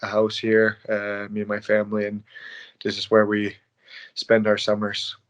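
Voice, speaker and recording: A man's voice: on the low side, monotone, moderate pace. A man from Denmark in his late twenties answering interview questions as a professional ice hockey player, casual and laid-back. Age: late 20s. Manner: laid-back, not loud.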